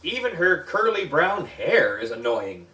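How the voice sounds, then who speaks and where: A man reads a children's story with big swings in pitch and theatrical emphasis. He takes his time over the words, and he sounds set back from the mic in an echoey room. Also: sing-song, measured pace, bright, forceful tone, recorded from a distance. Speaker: book reader for a children's book club. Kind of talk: animated children's story reading.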